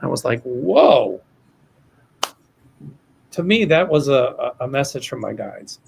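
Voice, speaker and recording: Gravelly older man, rambling psychic host. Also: mid-range voice, sing-song, slow, background noise. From United States. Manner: rambling and hesitant, with frequent restarts. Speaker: psychic host of a livestream show. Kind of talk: casual livestream chat, reading viewer questions.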